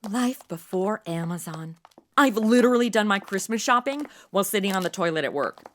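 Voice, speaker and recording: A woman's voice: medium pitch, animated, a little fast, slight background noise, lightly acted. A young woman playing an irreverent, chatty modern sidekick with a sassy, casual manner in a comedic audio drama. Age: young adult.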